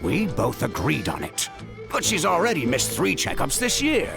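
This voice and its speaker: A man's voice: on the high side, wildly swooping pitch, normal speed, gravelly, full character voice. An actor playing a stern, weary middle-aged adoptive father in a game scene, with music behind.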